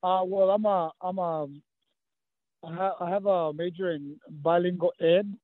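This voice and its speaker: A man's voice: high voice, steady tone, measured pace, no echo. A man in his fifties from South Texas, Spanish his first language, talking in a casual interview with many fillers and restarts. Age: 50s. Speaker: guest, running coach. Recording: tinny phone-like line.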